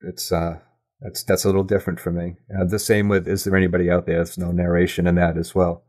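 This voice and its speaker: A man speaking as a guest in a casual interview, halting and self-effacing as he thinks aloud. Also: deep voice, little pitch movement, darkish tone. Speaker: podcast guest, audio drama creator.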